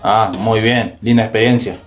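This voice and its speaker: A man's voice: lowish voice, fairly even intonation, normal speed. Argentine guy doing a phone interview, asks questions, chips in short agreements, music in the background. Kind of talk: conversational interview with short acknowledgements.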